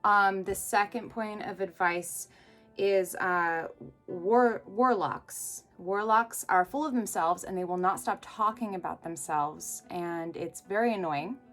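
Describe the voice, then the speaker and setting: A woman player retelling advice, hesitant and searching for words with many restarts. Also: fairly low pitch. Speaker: tabletop game player.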